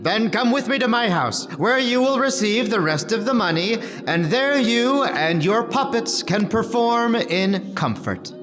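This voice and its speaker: Courteous, formal alderman male actor, eager to please, very high register, period stage comedy, echoing room. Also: expressive, a little slow, hardly any pauses, fairly bright tone. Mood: obliging. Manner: deferential, formal. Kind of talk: theatrical reading of a comic period play. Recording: reverberant hall, music behind.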